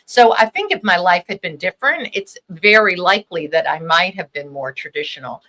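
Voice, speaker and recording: A middle-aged woman rabbi from Los Angeles, whose first language was Hebrew, tells her story reflectively and pauses often on "um". Her voice is bright and clear and sits somewhat low for a woman. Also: animated. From Los Angeles, USA. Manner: warm, reflective, many ums. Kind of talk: personal storytelling.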